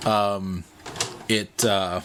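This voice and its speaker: Casual man over clearly noisy recording. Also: fairly low pitch, steady tone, fairly bright tone. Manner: unhurried, offhand, thinking aloud. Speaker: vlogger. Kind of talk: casual narrated demonstration. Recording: clear background noise, clicks.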